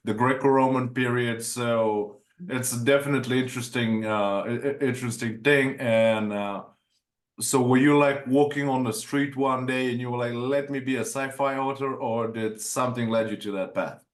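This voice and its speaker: A man's voice: mid-range voice, fairly even intonation, normal speed. Guy from bulgaria hosting a podcast, stumbles and rambles a lot, echoey room sound. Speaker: podcast host. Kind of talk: casual interview conversation.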